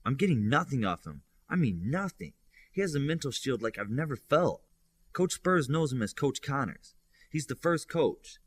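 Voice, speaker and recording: Man reading as a telepathic superhero girl, lively intonation. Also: medium pitch, normal speed, husky, voice pushed up. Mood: puzzled, informative. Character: telepathic superhero girl, informative, attentive student.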